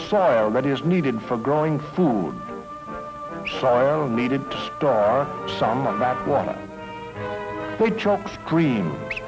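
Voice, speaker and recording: Slow, dramatic American man narrating vintage film. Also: medium pitch, rough recording. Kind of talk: dramatic scripted narration, mid-century educational film. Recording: old film soundtrack with music.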